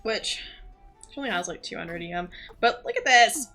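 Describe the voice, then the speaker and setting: A woman giving casual, rambling commentary on a live gaming stream, thinking aloud in an excitable, giggly way. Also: mid-range voice, normal speed, faint music behind. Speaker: livestreamer playing a role-playing game.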